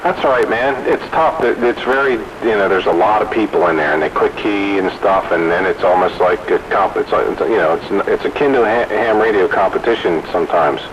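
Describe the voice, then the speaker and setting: A man's voice: lowish voice, brisk, hardly any pauses, muffled recording. A man chatting casually over two-way radio as a CB operator, with a rambling, jokey manner. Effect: two-way radio transmission. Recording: noisy crackly CB radio audio.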